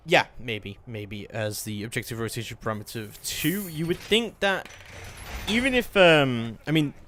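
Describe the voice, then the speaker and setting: A man's voice: medium pitch, normal speed, a bit bright, a little hiss or hum. A man giving live play-by-play esports commentary in a flowing, detailed running description.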